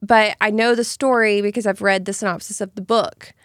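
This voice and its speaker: A woman in her thirties, still a little nasal from the flu, speaks in a measured, thoughtful way. Her pitch sits mid-range. The recording is dry and close. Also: fairly even intonation, fairly bright tone, no room sound. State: recovering from flu, slightly nasal. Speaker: podcast co-host. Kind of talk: casual chat.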